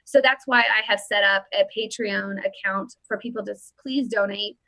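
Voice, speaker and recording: 30s woman host rambling into a webcam, bright voice, dry sound. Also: mid-range voice, fairly even intonation, moderate pace, no room sound, rough recording. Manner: rambling, many fillers and restarts. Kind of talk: casual political commentary.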